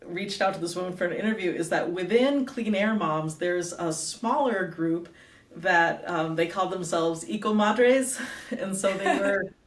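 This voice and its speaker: A woman's voice: on the low side, measured pace, a little hiss or hum. Chatty woman guest with a roomy echo. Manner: chatty, laughs often, many fillers. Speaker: guest, advocate and author. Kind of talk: casual interview conversation. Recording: roomy echo, distant from the mic.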